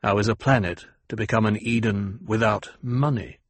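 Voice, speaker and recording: A man voicing a calm, shrewd noble duke in an audiobook, with measured, courteous authority. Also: lowish voice, breathy edge, dry recording. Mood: shrewd, composed. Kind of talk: audiobook character acting.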